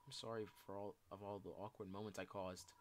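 A shy young game character, acted out by a man, full of self-loathing and apology. The voice is low, subdued and hesitant. There is faint background noise in the recording. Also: fast talker, close to an everyday voice. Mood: downcast, ashamed.

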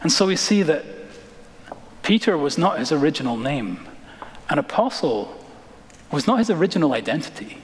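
An American man in his thirties preaching a sermon as a pastor, dramatic and emphatic, with deliberate pauses and repeated phrases, in a large church. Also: fairly high pitch, very animated. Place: large church sanctuary, indoors, preaching from the stage. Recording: reverberant church hall.

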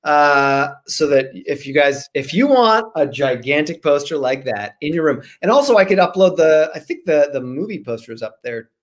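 A man in his forties, a guest author chatting casually on a video call, repeating phrases for emphasis in an animated way. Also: fairly high pitch, moderate pace, few pauses. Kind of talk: casual interview chat. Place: small room at home, indoors. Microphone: video call, computer microphone.

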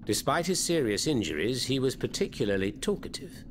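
Game role: theatrical underground French rebel male actor, French accent, very lively intonation, clearly noisy background. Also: medium pitch, fairly quick, lightly acted. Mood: conspiratorial. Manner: theatrical, florid, eloquent. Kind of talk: video game cutscene acting.

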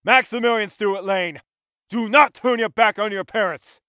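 A man's voice: high-pitched, moderate pace, sharp tone, clear, resonant tone, no echo, studio quality. A man playing a stern, middle-aged wealthy father in a visual novel, scolding in a formal, authoritative manner.

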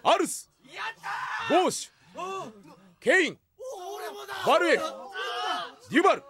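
An actor playing a stern, irritable mercenary captain and examiner with a commanding bark, in an anime audio drama. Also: male voice, extremely high pitch, sing-song, unhurried, shouting, a crowd in the background, exaggerated voice. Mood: loud, commanding. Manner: commanding, barking.

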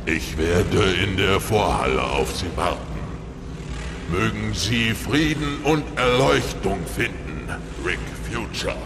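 An actor playing a solemn, cryptic alien priest who speaks formally and ceremoniously in a science fiction audio drama. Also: male voice, fairly low pitch, animated, takes it slowly, background noise. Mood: reverent. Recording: music behind the voice.

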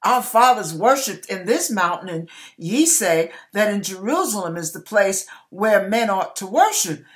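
An older woman in about her sixties gives a dramatic Bible reading as a preacher, emphatic and deliberate, stretching words and pausing between phrases. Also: mid-range voice, big pitch swings. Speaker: online preacher reading scripture aloud. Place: small indoor room with curtain behind.

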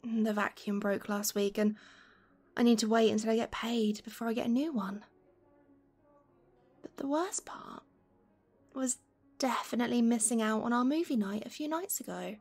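Woman acting a high-voiced, gentle, playful best friend. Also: normal speed, long pauses. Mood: chatty, weary. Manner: gentle, teasing, flirty. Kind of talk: gentle ASMR roleplay, one-sided conversation.

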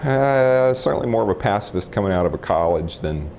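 A man in his forties from Texas with an American accent, recounting his life matter-of-factly and haltingly in an interview on a lapel mic. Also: lowish voice, expressive, gravelly, a little mellow. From Texas, United States. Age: 40s. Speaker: interviewee, nuclear physicist. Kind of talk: informal oral history interview, recollecting. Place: room in a house, indoors. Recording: clip-on mic with faint background noise.

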